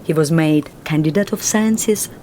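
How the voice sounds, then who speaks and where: An actress with a Russian accent playing a guarded, earnest young Russian woman in a film drama who speaks in a formal, measured and reserved way. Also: deep voice, dark tone, slight background noise.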